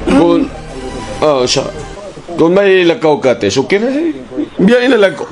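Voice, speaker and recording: An actor playing a fussy, excitable, stammering TV station boss in a radio drama, with a Cebuano Filipino accent. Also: male voice, high voice, measured pace. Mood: flustered. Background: background music.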